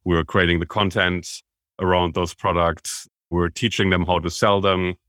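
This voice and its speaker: A man's voice: low-pitched, level tone, normal speed, a bit bright, no echo, studio quality. A man from Germany with a German accent, a podcast guest talking at length and with enthusiasm about his career. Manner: enthusiastic, self-described business nerd, explains at length. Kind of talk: conversational interview.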